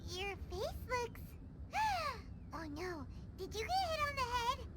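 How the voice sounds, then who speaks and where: A woman voicing a tiny, childlike, excitable fairy companion in an anime-style game, with a squeaky voice. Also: slow pace, heavy background noise, exaggerated voice. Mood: worried. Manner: refers to herself in third person, exclamatory.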